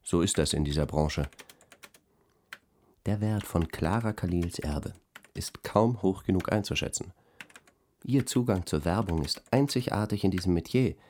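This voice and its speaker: A man's voice: deep voice, unhurried, pauses often, darkish tone, a little hiss or hum. An actor playing an earnest, jaded advertising man speaking solemnly and emphatically in a radio drama interview. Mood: solemn, reverent.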